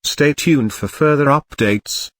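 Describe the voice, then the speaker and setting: A man voicing a grave emergency alert announcer, reading formal, measured warnings in a scripted broadcast style. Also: fairly high pitch, a bit bright, dry recording, clean studio sound. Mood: grave, instructing. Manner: formal, measured, urgent wording.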